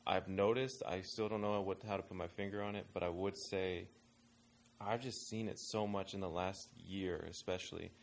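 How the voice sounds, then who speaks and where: A man's voice: deep voice, steady tone, moderate pace, vocal fry. A man speaking in a casual panel discussion, thinking aloud and rambling with restarts as he shares what he has seen of young adults in churches. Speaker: ministry worker advising pastors on young adults.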